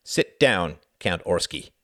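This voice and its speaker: A man's voice: mid-range voice, lively intonation, brisk, dry recording, studio quality, pitched above the performer's own voice, natural voice. A man voicing a brutal, bullying Nazi officer in an audiobook, barking harshly and impatiently. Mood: commanding, menacing. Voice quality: harsh, grating. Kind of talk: audiobook character acting.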